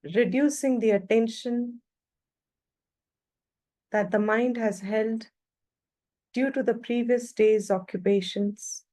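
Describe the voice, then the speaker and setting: A woman leading a guided meditation as a teacher, speaking calmly in short phrases with long pauses between them. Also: fairly high pitch.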